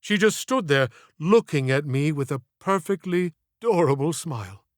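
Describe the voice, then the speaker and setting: A man's voice: on the high side, lively intonation, normal speed, no room sound, studio quality, close to an everyday voice. A man voicing an ailing middle-aged stage actor in an audiobook, speaking in an ornate, reflective, wistful way. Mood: tender, wistful. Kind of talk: audiobook character dialogue.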